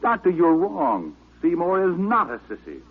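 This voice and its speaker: A man's voice: high voice, wildly swooping pitch, normal speed, breathy edge, exaggerated voice. An American man playing a wisecracking, unflappable private detective with dry, sardonic delivery in an old-time radio drama. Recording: muffled, band-limited old radio recording with music.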